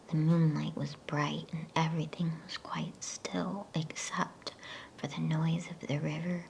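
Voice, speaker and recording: A woman softly whispering an ASMR bedtime story as storyteller, hushed, soothing and unhurried. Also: sits low, creaky, a little hiss or hum, poor audio.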